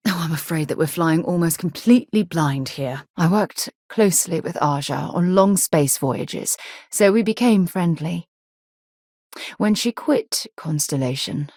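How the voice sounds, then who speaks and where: Husky British woman space commander. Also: lowish voice, lively intonation, unhurried, a little mellow, dry recording, studio quality. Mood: earnest, concerned. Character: poised woman space explorer and commander, earnest, dry-witted. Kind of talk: video game voice acting.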